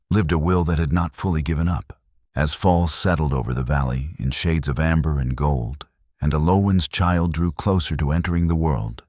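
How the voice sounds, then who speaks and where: Very deep-voiced male Western storyteller. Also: a little mellow, dry recording, clean studio sound. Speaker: storyteller, narrator. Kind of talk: scripted dramatic Western story narration.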